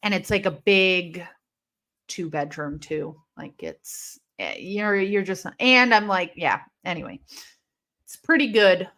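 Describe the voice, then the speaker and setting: A woman from Illinois hosting a live wrestling watch-along, chatting casually and rambling, with intense, sharp S sounds left from a lisp. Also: lowish voice, lively intonation, slow pace. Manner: chatty and rambling, heavy use of "like". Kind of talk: casual live-stream chat reading comments.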